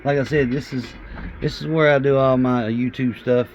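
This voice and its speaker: A man gives a casual, unscripted walkthrough of his equipment in a halting, rambling, plain-spoken way, recorded in a room at home. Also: medium pitch, steady tone. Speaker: hobbyist vlogger showing radio gear. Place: small home radio room, indoors. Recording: muffled lo-fi audio with background noise.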